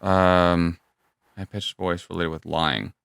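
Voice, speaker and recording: A man's voice: deep voice, level tone, takes it slowly, creaky, fairly bright tone, smooth, dry recording, studio quality. A man co-hosting a casual podcast who thinks aloud, hedging his guesses and joking. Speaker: podcast co-host.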